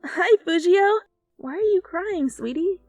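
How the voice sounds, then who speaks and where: A woman as a sweet, high-voiced anime girl. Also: lively intonation, measured pace, no room sound, faint music behind. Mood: tender, cooing. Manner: gentle cooing, cheerful. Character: sweet, bubbly, kind young woman from anime. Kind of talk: dramatic fan fiction reading.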